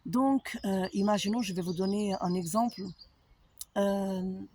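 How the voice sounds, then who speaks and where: A woman with a foreign accent, a holistic therapist talking to her followers in a slow, halting way with many pauses and fillers. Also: medium pitch, darkish tone, slight background noise. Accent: foreign accent in French. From abroad, not France. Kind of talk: personal monologue to followers.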